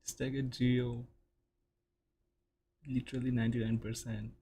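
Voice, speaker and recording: A man giving casual, low-key reaction commentary in short musing remarks while playing a game. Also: level tone, moderate pace, dark tone, husky. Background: game music.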